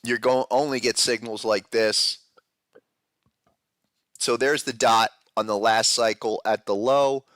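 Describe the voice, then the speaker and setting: A man hosting a live podcast about trading charts, talking in a casual, loose way with a head cold that deepens his voice. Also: fairly even intonation, takes it slowly, pauses often, a bit bright, no echo, clean studio sound. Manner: casual, loose, with fillers and restarts. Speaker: podcast host. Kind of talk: live-stream market analysis chat.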